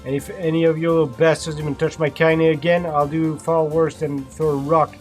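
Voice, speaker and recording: A man's voice: fairly high pitch, steady tone, normal speed, a little mellow, soft background music, close to an everyday voice. A man voicing a tiny, fierce old grandmother who speaks in a coarse, blunt way. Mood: angry gruff.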